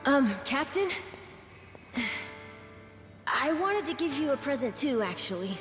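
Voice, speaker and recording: Earnest, high-voiced young boy. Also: big pitch swings, measured pace. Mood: shy, eager. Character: earnest, brave, sickly young boy, a pirate's son. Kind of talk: scripted video game cutscene acting. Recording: echoey hall with faint music.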